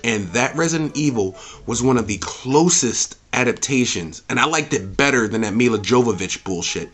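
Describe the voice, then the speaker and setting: A man's voice: medium pitch, expressive, normal speed, a bit bright. A man giving an opinionated, off-the-cuff review as a host, speaking emphatically, repeating himself and swearing freely. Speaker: movie reviewer and channel host.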